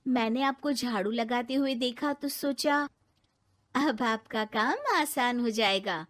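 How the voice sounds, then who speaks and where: Woman voicing a high-pitched, eager young city daughter-in-law. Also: animated, slow, a bit bright. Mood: helpful. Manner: polite, earnest, explanatory. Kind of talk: animated moral-story cartoon voice acting.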